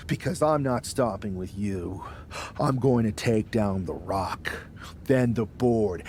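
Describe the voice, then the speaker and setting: An actor playing a villainous wrestler and rebel faction leader, mocking, power-hungry and theatrical, in a video game cutscene. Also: male voice, medium pitch, darkish tone, slight background noise. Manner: theatrical, taunting, deliberate.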